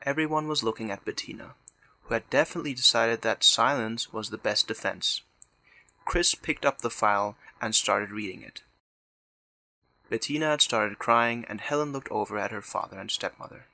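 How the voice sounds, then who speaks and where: A man's voice: lowish voice, normal speed, pushed and bright, no room sound, rough recording. A man narrating a scripted audio drama in the third person.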